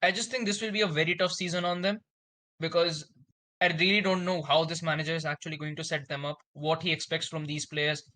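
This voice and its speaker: A man's voice: a little high, level tone, brisk, pushed and bright, rough recording. A man giving his view as a football podcast panelist, hesitant and full of fillers. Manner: hesitant, many fillers like "you know" and "actually". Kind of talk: casual football chat.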